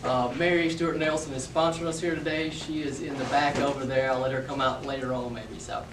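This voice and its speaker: A man's voice: medium pitch, steady tone, a little fast, far from the mic. A man hosting an event, giving an upbeat, loose introduction of the next speaker to a live audience. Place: event room before an audience. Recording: echoey room heard from afar, background noise.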